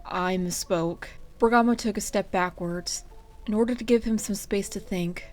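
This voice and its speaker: A woman's voice: medium pitch, normal speed, a little mellow. A woman reading scripted story narration aloud as the narrator. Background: music.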